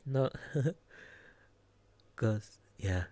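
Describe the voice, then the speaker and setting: A young man with a Finnish accent chatting casually on a live stream, soft-spoken and giggly, hesitating often. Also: mid-range voice, animated, drawn-out words, no room sound. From Finland.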